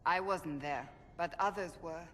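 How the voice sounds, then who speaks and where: An actress playing a stern, devout, earnest warrior in a video game, speaking formally. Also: female voice, lowish voice, unhurried, fairly bright tone. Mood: pensive, earnest. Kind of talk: video game dramatic acting. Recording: long reverb, background noise.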